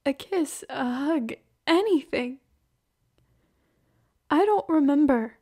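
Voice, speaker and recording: A young woman playing a hurt, heartbroken girlfriend in an emotional, halting ASMR roleplay monologue. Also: sits high, lively intonation, a little mellow, exaggerated voice. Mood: sad, pleading.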